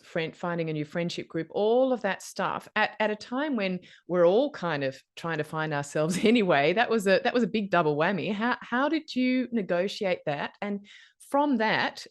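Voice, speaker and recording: A woman hosting a podcast interview, speaking conversationally and asking thoughtful, probing questions. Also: lowish voice. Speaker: podcast host.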